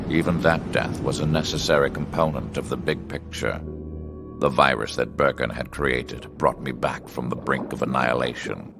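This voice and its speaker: A cold, vengeful video game villain, with an extremely low voice full of gravel. He narrates deliberately and grandly, with menace in his delivery, over clearly audible music. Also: heavily acted. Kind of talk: scripted dramatic game narration.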